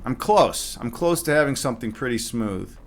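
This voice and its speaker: A man's voice: mid-range voice, expressive, normal speed. A man giving casual live commentary on his own game as a streamer, muttering half-finished thoughts to himself as he thinks aloud.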